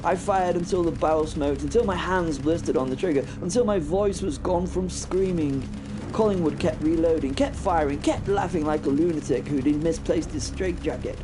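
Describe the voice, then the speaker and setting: An actor playing a haunted but plucky biplane pilot, telling his story in a halting, wryly understated horror audio drama monologue. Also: a little high, noisy background, natural voice. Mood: frantic. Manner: halting, repeating words, wry understatement. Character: British First World War biplane pilot, haunted, plucky, recounting battle.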